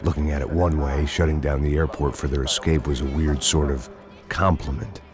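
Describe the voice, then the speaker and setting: Bitter middle-aged American ex-cop, very low voice, deadpan, noir game narration, loud music underneath. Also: male voice, moderate pace. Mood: grim, weary. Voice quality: low, world-weary. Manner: sardonic, deadpan. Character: fat bald bitter ex-cop turned vengeful gunman.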